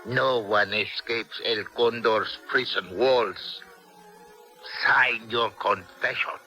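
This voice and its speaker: A cold male prison interrogator from a radio drama, threatening every word. The delivery is drawn-out and deliberate, with a very sharp, forceful edge over faint music. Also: medium pitch, fairly even intonation. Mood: cold menace. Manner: slow, taunting, deliberate. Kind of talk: old-time radio drama acting.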